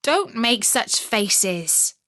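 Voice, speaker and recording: Woman as bossy little robber girl, unhurried. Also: on the high side, big pitch swings, sharp tone, no echo, studio quality, exaggerated voice. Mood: bossy, brisk. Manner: commanding, blunt.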